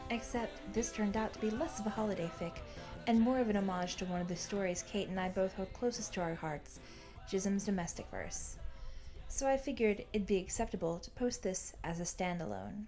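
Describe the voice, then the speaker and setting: A woman's voice: mid-range voice, moderate pace, warm tone, soft background music. A woman reading fan fiction aloud as scripted audiobook-style narration.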